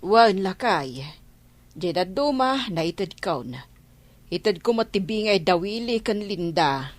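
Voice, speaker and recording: A woman acts as an old Ilocano mother in a radio drama. Her mid-pitched voice moves in lively swings, recorded dry, and she is firm and plain-spoken. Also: takes it slowly, dry recording. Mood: even-handed. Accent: Filipino, Ilocano. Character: firm, hardworking old mother, grandmother sharing out harvest.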